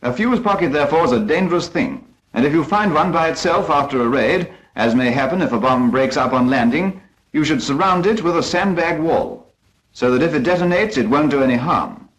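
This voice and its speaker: A man's voice: on the high side, animated, fairly quick, poor audio. A British man narrating a 1940s instructional film from a script in a formal, precise, instructive manner.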